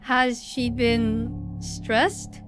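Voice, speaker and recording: Woman acting formal, high-voiced unyielding executive. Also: little pitch movement, extremely slow, music in the background. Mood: probing. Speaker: voice actor in fan audio drama. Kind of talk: audio drama acting.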